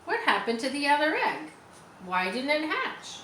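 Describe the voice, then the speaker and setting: A woman voicing a sly, greedy male rat in a children's storybook, with a sharp, snarling manner. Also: sits high, very animated, moderate pace, echoey room, a little hiss or hum, voice pushed up, low-quality recording. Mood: demanding, sharp.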